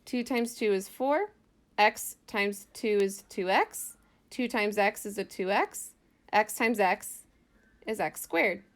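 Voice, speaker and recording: A woman's voice: mid-range voice, takes it slowly. A woman math teacher giving an informal lesson, thinking aloud as she explains worked examples step by step in a casual way.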